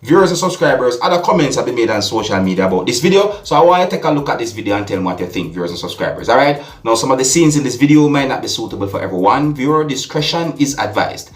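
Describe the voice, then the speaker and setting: A man's voice: mid-range voice, sing-song. Jamaican man commentating in an echoey room. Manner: deliberate, repeatedly addresses listeners with rhetorical asides. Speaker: vlog host and commentator. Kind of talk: opinion commentary addressed to viewers. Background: music.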